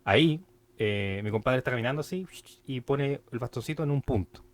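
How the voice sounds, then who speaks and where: A young man with a Chilean accent talks in a loose, rambling podcast style. He pads his sentences with fillers and casual slang. His pitch sits in the middle range for a man. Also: expressive, a little fast. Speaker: podcast co-host.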